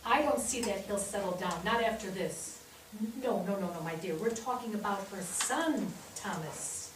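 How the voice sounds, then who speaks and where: A woman playing a brisk, motherly middle-aged daughter-in-law managing the household in a staged play reading. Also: medium pitch, lively intonation, lightly acted. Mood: considerate. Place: theatre stage, recorded from afar. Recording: distant noisy microphone in echoey theatre.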